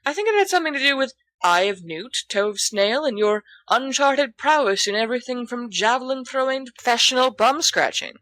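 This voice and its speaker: A woman's voice: a little high, animated, brisk, few pauses, pushed and bright, no echo, pitched above the performer's own voice. A woman voicing a mild, dry-witted teenage schoolboy with an understated teasing manner in an audiobook story. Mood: wry.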